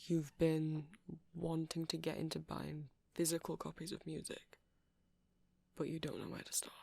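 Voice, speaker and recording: A man's voice: a little high, completely flat delivery, normal speed, gravelly, darkish tone, breathy edge, dry recording. A man playing an awkward, rambling vampire music shop owner who stammers and hesitates in a casual ASMR roleplay. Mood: friendly, earnest. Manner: hesitant, stammering, apologetic, nervously chuckling.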